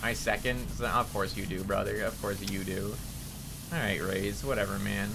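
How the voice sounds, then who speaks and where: A man's voice: lowish voice, steady tone, normal speed, music in the background, low-quality recording. Nasally young man chatting on stream. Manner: rambling, chatty, answering chat. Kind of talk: casual chat while gaming.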